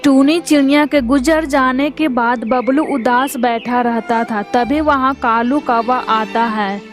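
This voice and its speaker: A woman's voice: sits high, steady tone, slow, faint music behind. A woman narrating a scripted children's cartoon story.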